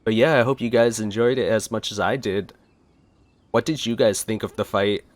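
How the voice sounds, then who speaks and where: A man's voice: fairly low pitch, steady tone, normal speed, no echo. A young man giving casual, chatty let's-play commentary into a close desk microphone. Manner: chatty, reads game text aloud, stumbles and laughs at slips. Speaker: gaming YouTuber.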